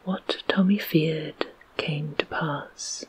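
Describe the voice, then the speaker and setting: A storyteller whispers a children's tale with no voice behind the breath at all. The reading is very slow and soft, and it stays airy and hushed from line to line. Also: mid-range voice, fairly even intonation, husky, low-quality recording. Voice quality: unvoiced whisper.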